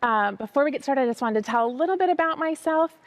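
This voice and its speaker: Woman, storytime host, higher voice, cheerful and patient, slips in Arabic words, dry room. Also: expressive, dry recording. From United States. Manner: upbeat, speaks slowly to young listeners, mixes Arabic words into English. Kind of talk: children's storytime lesson.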